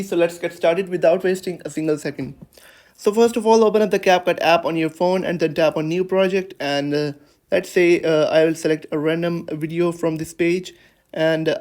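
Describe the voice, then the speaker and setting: A man's voice: on the high side, a little mellow. A man hosting a casual how-to tutorial, narrating steps with hesitant, improvised phrasing. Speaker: tutorial host.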